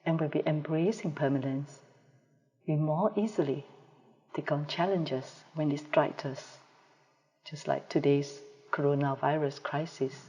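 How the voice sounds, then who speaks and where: A woman guides a meditation in a mellow, relaxed voice. She speaks slowly and evenly spaces her phrases with calm pauses, like a teacher settling a group. The sound comes from a live video call and carries a long, hall-like reverb. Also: sits low, muffled recording. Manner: calm, measured delivery with pauses.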